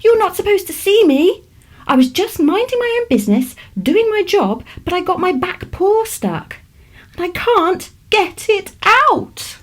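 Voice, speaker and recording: A woman's voice: very high voice, very animated, measured pace, voice pushed up, full character voice. A woman voicing a small, worried female magical rabbit in a children's storybook, fretful and a bit bossy. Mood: flustered, worried. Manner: fretful, exclaiming.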